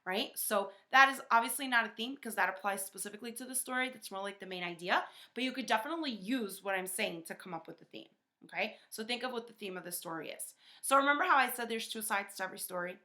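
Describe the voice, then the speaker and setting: Female teacher for children's read-aloud lesson: bright, forceful voice, instructive, questioning delivery. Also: medium pitch, fast talker. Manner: instructive, asks questions, frequent checking tags.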